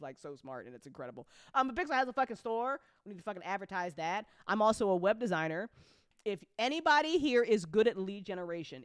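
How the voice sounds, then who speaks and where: A woman's voice: on the low side, clean tone, dry recording. Informal, sweary woman host, close dry sound. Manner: animated, sweary, joking; informal speech with frequent y'all. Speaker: host and audio drama creator. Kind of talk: casual livestream chat answering audience questions.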